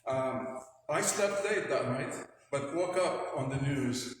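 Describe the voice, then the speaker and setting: A man in his sixties with a Lebanese accent, introducing himself as a panelist to an audience with halting, hesitant phrasing. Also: mid-range voice, lively intonation. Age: 60s. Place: large indoor hall with long reverb. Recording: very echoey hall with faint noise.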